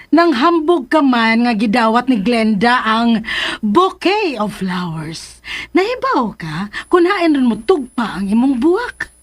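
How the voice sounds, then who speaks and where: Radio drama mother, Cebuano Filipino accent, high pitch, swooping intonation, teasing, advising. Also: very animated, slow pace, exaggerated voice. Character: warm, teasing mother of a grown son. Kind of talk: Philippine radio drama acting.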